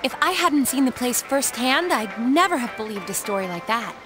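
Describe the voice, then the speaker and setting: A woman voicing a feisty, excitable, worried teenage schoolgirl with an American accent in an anime-style video game, expressive and blunt. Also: on the high side, normal speed, close to an everyday voice, rough recording. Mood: curious. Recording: game music and background crowd.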